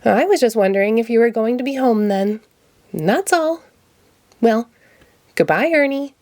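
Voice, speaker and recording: A woman's voice: fairly high pitch, expressive, measured pace, a little mellow, no echo, voice pushed up. A woman voicing a friendly, childlike giant bird from a children's storybook, with an innocent manner. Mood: casually evasive. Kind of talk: children's storybook read-aloud.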